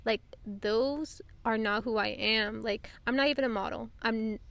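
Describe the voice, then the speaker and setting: A woman's voice: fairly high pitch, unhurried, gravelly, fairly bright tone, no echo. Chatty young woman hosting outdoors. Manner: loose and chatty, heavy on fillers. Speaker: podcast host. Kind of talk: casual chat. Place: outdoors on a couch.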